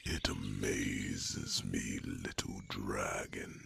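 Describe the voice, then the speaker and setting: Ancient dragon, abyssally deep, gravelly. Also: male voice, little pitch movement, background noise, heavily acted. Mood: grave, ominous. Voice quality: very deep, gravelly, breathy half-whisper. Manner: slow, deliberate, with long pauses and rumbling hums. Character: ancient, huge, wise dragon mentoring a young one. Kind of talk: slow ASMR fantasy roleplay monologue.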